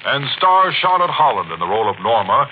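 A man's voice: mid-range voice, very animated, brisk, phone-quality sound. A man announcing in a scripted 1940s radio style as the program's announcer. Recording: very noisy old radio recording.

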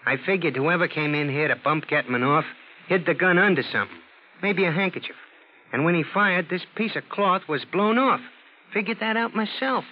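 A comic, uneducated police sergeant from old radio drama, acted by a man. He is pleased with himself and speaks in slangy, broken grammar, in a fairly high voice. The recording is dull and faintly noisy. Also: moderate pace, lightly acted. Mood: proud, plain-spoken. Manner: slangy, ungrammatical, self-satisfied. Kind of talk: 1940s radio detective drama acting.

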